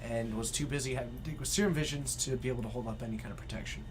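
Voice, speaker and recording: A man's voice: medium pitch, fairly even intonation, racing. Guy in his twenties doing stream commentary, dry jokey, light background hum. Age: 20s. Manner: dry, joking banter. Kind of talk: casual live game commentary. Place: indoor game store.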